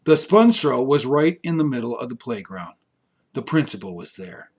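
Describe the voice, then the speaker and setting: A man reading a children's story aloud as scripted storytelling, with expressive delivery that shifts for characters. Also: medium pitch, normal speed, breathy edge.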